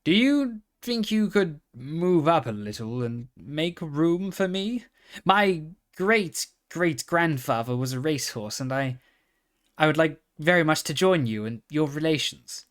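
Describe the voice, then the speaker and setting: A man's voice: fairly high pitch, expressive, unhurried, pitched above the performer's own voice. A man voicing a polite, hopeful little brown pony in a storybook, speaking with earnest, formal courtesy.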